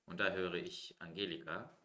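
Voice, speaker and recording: A man's voice: low-pitched, fairly even intonation, moderate pace, sharp tone, echoey room, a little hiss or hum. A man hosting a chess livestream, chatty and joking as he moves the conversation along. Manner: chatty and joking, keeps the talk moving. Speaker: stream host and chess commentator. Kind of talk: casual livestream chat.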